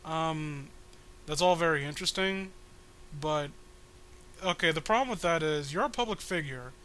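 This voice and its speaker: A man playing a mild, reasonable young website owner and troll in a comic reading, explaining things in a measured, hesitant way. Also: a little high, bright, forceful tone, slight background noise.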